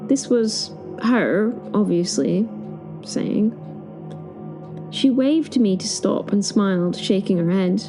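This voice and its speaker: A woman playing a timid, flustered professor, telling her story in the first person with expressive, hesitant, wry asides. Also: mid-range voice, slow pace, music in the background, poor audio. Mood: uneasy, descriptive.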